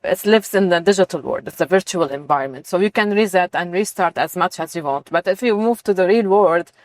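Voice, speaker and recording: A woman's voice: fairly low pitch, a little fast, no echo. A middle-aged woman from Lebanon giving conversational, enthusiastic answers as an interview guest on research work.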